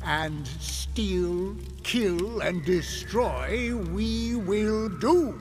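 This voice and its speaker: An actor playing a theatrical senior demon tempter who schemes with villainous relish in an audio drama. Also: male voice, sits high, expressive, extremely slow, over loud music, full character voice. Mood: gloating, rallying.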